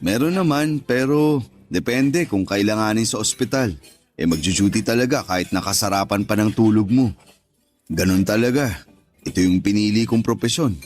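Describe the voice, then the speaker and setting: A man's voice: fairly low pitch, moderate pace, darkish tone, faint music behind, lightly acted. An actor playing a kind, easygoing young Filipino male nurse in a radio drama. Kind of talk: Tagalog radio drama acting.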